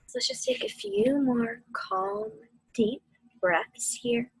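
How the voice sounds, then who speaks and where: A woman with a soft, breathy voice gently guiding children through a mindfulness meditation, soothing and deliberate. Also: high-pitched, big pitch swings, no room sound, poor audio. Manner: soothing, gentle, deliberate, often dropping to a near-whisper. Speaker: mindfulness instructor and host of a weekly video series.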